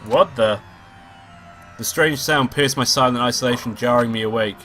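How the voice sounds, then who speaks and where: A man's voice: mid-range voice, normal speed, pushed and bright. A man with a cold and a nasal voice, hosting a let's play and reading out a visual novel with casual, swearing asides. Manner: swearing, offhand asides between read lines. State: sick and nasal. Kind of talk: casual let's play commentary and read-aloud. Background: game music.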